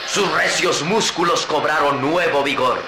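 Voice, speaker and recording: Dramatic radio-serial narrator, roomy sound. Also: male voice, a little high, unhurried. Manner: emphatic, suspenseful. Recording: roomy old radio recording with music.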